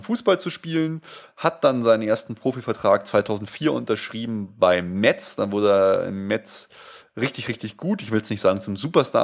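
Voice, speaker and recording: A young man from Munich with only a faint Bavarian accent, chatting eagerly as a podcast co-host. His voice sits on the lower side, and he restarts his sentences often, stacking up fillers as he gets carried away. His warm, lively chat keeps tumbling forward. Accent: light Bavarian German. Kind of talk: casual football fan podcast.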